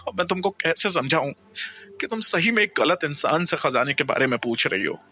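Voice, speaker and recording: A man's voice: a little high, lively intonation, normal speed, pushed and bright, a little hiss or hum, pitched above the performer's own voice. A man voicing a desperate captive alien in a human body, pleading and bargaining in an audio story. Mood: frustrated pleading.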